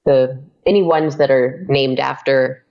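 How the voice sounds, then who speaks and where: A woman's voice: extremely low pitch, fairly even intonation, a little slow, darkish tone. A young adult woman chatting as a podcast guest, laughing often and using many fillers. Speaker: podcast guest, audio drama creator. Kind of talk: casual interview chat. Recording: dull lo-fi podcast audio.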